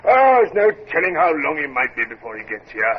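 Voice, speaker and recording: A man's voice: fairly high pitch, sing-song, a little fast, husky. A man acting a grumbling but convivial senior British naval captain in a radio drama, English-accented, chatty and hesitant. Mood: grumbling, scornful. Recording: dull old radio recording, faint noise.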